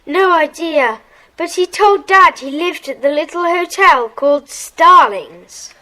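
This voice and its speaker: Earnest schoolboy played by a woman, very high voice, polite and regretful, for children's audio drama. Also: takes it slowly, bright, forceful tone, exaggerated voice, poor audio. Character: earnest, regretful schoolboy forced to leave his club.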